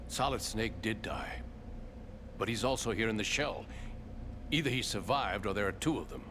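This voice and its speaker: A man's voice: on the low side, normal speed, noisy background, natural voice. A man playing a cryptic, urgent informant. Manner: urgent, explanatory. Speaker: secret informant. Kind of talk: video game radio call acting.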